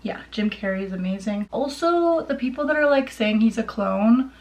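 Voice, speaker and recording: A woman's voice: fairly high pitch, lively intonation, normal speed, darkish tone. A young woman chatting casually as a vlogger, gushing and rambling in an informal way. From Ontario, Canada. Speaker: vlogger showing a personal collection. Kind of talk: casual chat to camera.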